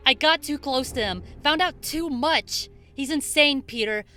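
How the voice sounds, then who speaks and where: Female actor as a frightened woman in danger, high and sharp, desperate pleading, comic dub. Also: measured pace. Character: terrified, pleading woman in peril.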